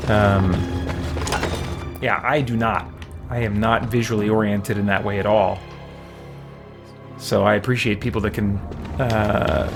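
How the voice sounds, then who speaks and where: A man livestreaming a game in a casual way, musing aloud as he chats and reads game text. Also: mid-range voice. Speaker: game streamer.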